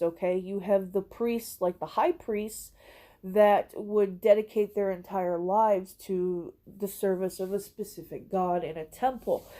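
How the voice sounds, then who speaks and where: A woman's voice: medium pitch, mellow. A woman with a congested, stuffy nose hosting a casual educational talk, often checking in with "okay?". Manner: chatty, frequent "okay?" tag questions. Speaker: host.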